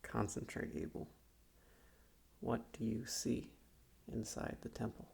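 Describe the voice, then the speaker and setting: A man playing a calm, probing psychiatrist in a soft-spoken ASMR roleplay, with a slow, measured, quietly condescending manner and a soft, gravelly voice. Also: mid-range voice, full character voice. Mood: hypnotic, probing. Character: calm, probing male psychiatrist leading a hypnotic guided visualization.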